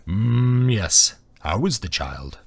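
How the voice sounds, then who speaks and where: A man voicing a huge old male dragon, cranky and wry, with a deep, booming, slow delivery for a fantasy story. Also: very animated, gravelly, slightly rough, heavily acted. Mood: wry, wary.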